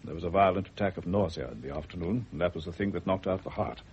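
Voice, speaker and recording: An actor in a radio drama playing a grave, world-weary family doctor, measured, formal and authoritative. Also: male voice, sits low, expressive, a little mellow. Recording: muffled, noisy old radio recording.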